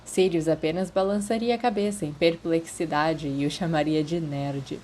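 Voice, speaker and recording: A woman's voice: low voice, fairly even intonation, moderate pace, a little mellow, a little hiss or hum. A woman with a Brazilian accent reading scripted fiction as an audiobook narrator.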